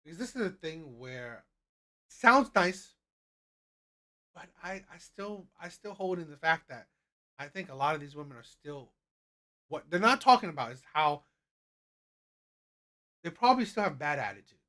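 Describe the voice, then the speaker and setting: A man hosting a reaction video, commenting in a rambling, halting way with frequent restarts. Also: fairly high pitch, sing-song, sharp tone. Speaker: host.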